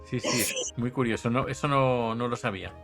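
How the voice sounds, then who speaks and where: A man hosts a casual interview. His voice sits at an average pitch, with faint music alongside it. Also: moderate pace. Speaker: podcast host, interviewer.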